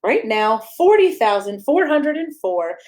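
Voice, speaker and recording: A woman's voice: a little high, big pitch swings, a little slow, a little mellow. Woman reading a children's bedtime story aloud. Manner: playful, addressing young listeners directly with questions. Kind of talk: expressive read-aloud of a rhyming children's picture book.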